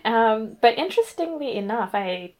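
A woman's voice: on the high side, big pitch swings, measured pace, poor audio. A young woman from Guyana, a graduate researcher, talking casually in an interview, with lots of ums and laughing as she tells her story. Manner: chatty, frequent ums, laughs through stories.

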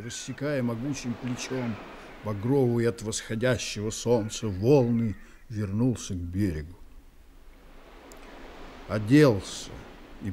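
Mellow male radio play narrator. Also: mid-range voice, slight background noise. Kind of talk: scripted literary narration.

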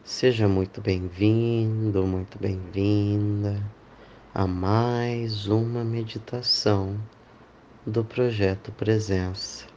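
A man leading a guided meditation, speaking in deliberate phrases with long pauses. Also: fairly low pitch, fairly even intonation, mellow, muffled recording, a little hiss or hum. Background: clear background music.

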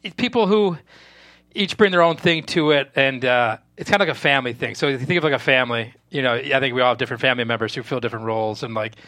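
A man chats as an interviewed writer, very quickly and informally. His pitch is average and the sound is dry and close, with his explanations loosely phrased. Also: sharp tone, no echo. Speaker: screenwriter being interviewed. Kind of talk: casual interview chat.

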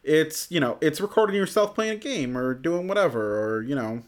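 A man's voice: on the high side, animated, fairly quick. A man co-hosting a podcast, talking casually in a rambling way with lots of "you know" fillers. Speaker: podcast co-host. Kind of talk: casual chat.

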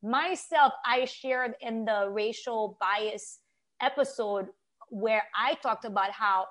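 Earnest woman with a higher-pitched voice. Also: slow pace, fairly bright tone. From Haiti. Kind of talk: conversational opinion talk.

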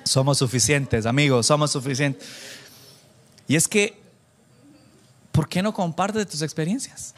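A man preaching informally to a church congregation, animated and self-deprecating, bantering with listeners and dropping in English phrases. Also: fairly high pitch, a little slow, long pauses. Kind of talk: informal conversational sermon with anecdotes.

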